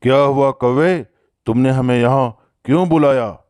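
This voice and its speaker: A man's voice: mid-range voice, normal speed, a little mellow, clear, resonant tone, no echo, clean studio sound, lightly acted. A man playing a bossy male hawk in a cartoon story, with a theatrical, demanding manner. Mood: questioning. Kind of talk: Hindi cartoon story voice acting.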